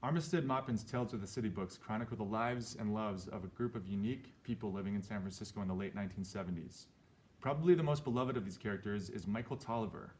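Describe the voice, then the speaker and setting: A man's voice: on the low side, a little mellow. A man reading a scripted introduction aloud. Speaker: actor introducing a letter.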